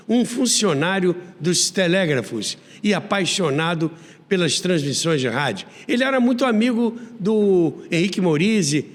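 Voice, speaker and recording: High-voiced, animated Brazilian man in heavy reverb. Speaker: radio history podcast host. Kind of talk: storytelling narration. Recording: long reverb on voice.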